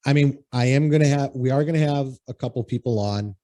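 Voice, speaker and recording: A man's voice: medium pitch, fairly quick, mellow, no room sound. A man from Connecticut hosting a podcast in casual, rambling chat, with plenty of restarts and swearing. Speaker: podcast host.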